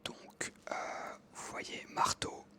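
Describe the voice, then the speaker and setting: An ASMR creator whispering casually into a close microphone over gameplay, rambling with frequent hesitations. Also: extremely slow. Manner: soft whisper, casual and rambling with many fillers.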